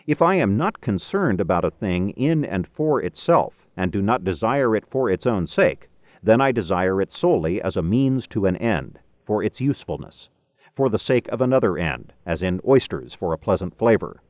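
Low-voiced man reading, swooping intonation. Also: sing-song, a little mellow, no echo. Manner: deliberate, rhetorical, formal delivery with emphatic phrasing. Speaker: audiobook narrator. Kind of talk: scripted narration of a philosophy book. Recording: dull lo-fi cassette tape recording.

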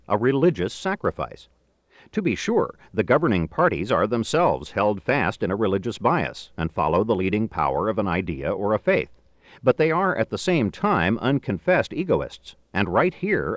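A middle-aged man reading a philosophical book aloud in a formal, measured, emphatic way, with deliberate phrasing. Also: fairly low pitch, no echo. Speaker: audiobook narrator.

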